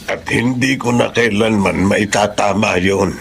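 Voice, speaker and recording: An actor playing an ailing, submissive middle-aged husband, halting and earnest, in a radio drama. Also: male voice, lowish voice, fairly even intonation, creaky, a bit bright, a little hiss or hum. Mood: resigned, regretful. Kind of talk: Filipino radio drama acting.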